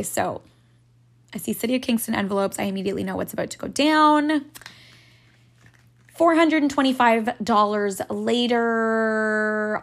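A woman hosting a casual solo podcast, her voice raspy and hoarse from just waking up, rambling along with frequent laughs and casual swearing. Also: a little high. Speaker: podcast host.

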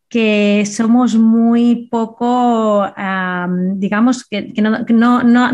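A woman hosting an interview, affirming her guest and restarting phrases as she works through her thoughts. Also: mid-range voice. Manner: warm affirmations, hesitant restarts. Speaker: host. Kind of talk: conversational interview.

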